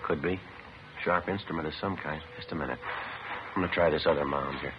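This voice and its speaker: An actor playing a terse, methodical police detective in a 1950s radio drama, matter-of-fact and understated in both dialogue and narration. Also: male voice, rumbling low, fairly quick. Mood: quietly intent. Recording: noisy, dull vintage 1950s radio recording.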